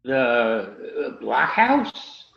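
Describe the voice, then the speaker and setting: A man's voice: medium pitch, very animated, vocal fry, heavy background noise, heavily acted. A man plays a prim and proper clergyman in a radio comedy, stiffly polite and earnest. His intonation rises and falls widely in an echoey, slightly dull recording. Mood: puzzled. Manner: stiff, formal, measured phrasing. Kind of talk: radio comedy theatre acting.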